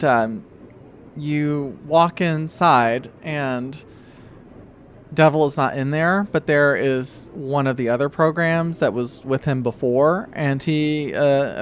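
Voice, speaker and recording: Male game master, medium pitch, halting improvised narration over faint noise. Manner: measured, halting second-person narration. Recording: steady noise behind the voice.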